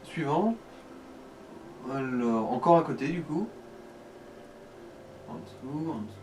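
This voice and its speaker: A man's voice: fairly high pitch, expressive, slow, darkish tone, recorded from a distance, slight background noise. A man chatting casually as co-commentator on a video game, giving colloquial advice to the player. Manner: colloquial, advising. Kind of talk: casual gaming chat.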